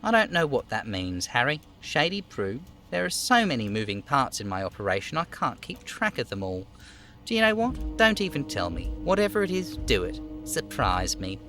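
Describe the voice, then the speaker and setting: A man voicing an overweight union boss in a video game, falsely chummy, smooth and theatrically indignant. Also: mid-range voice, wildly swooping pitch, normal speed, fairly bright tone, soft background music, voice pushed up. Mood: playfully evasive. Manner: smooth, theatrical, over-familiar.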